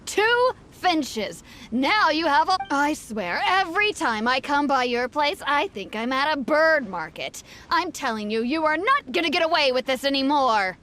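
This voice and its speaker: A woman's voice: high-pitched, lively intonation, unhurried, pushed and bright, heavily acted. An actress playing an indignant, sarcastic village farmer woman in a video game. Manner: exasperated, sarcastic.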